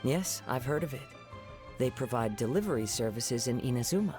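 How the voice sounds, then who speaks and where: A man acts a calm young samurai wanderer in an anime game. He speaks at a medium pitch with gentle courtesy and a poetic turn of phrase. Music is clearly heard behind him. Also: fairly quick, lightly acted. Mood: thoughtful, polite.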